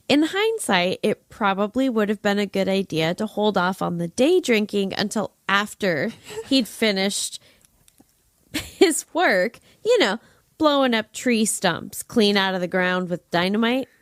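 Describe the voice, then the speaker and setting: Woman podcaster telling a scripted tale, super expressive pitch, dry close sound, wry n playful w chatty asides. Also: dry recording. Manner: wry, playful narration with old-fashioned flourishes. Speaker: true-crime podcast host. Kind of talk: scripted storytelling with casual chat asides.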